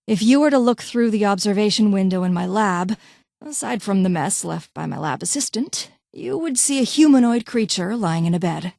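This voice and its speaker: A woman playing a curt vault doctor and scientist from a video game. She is haughty and impatient, phrasing things formally in a clipped, condescending way. The voice sits at a middle pitch in a very dry space. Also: lively intonation, normal speed, creaky, a little mellow, no room sound. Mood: businesslike. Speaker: voice actor in a game mod. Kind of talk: scripted game character dialogue.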